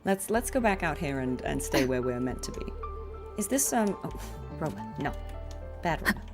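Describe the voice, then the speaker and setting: A woman's voice: low voice, very animated, normal speed. A woman live-streaming a video game with casual commentary, chatty and thinking aloud as she plays. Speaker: streamer, voice actress. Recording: game music behind the voice. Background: video game music.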